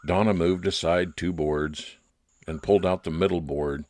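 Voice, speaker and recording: A man playing a private investigator who narrates his case in old-time radio style, with deliberate dramatic pauses. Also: deep voice, fairly even intonation, a little mellow, dry recording, clean studio sound, lightly acted. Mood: reflective. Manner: deliberate, dramatic pauses, stretched descriptive phrases.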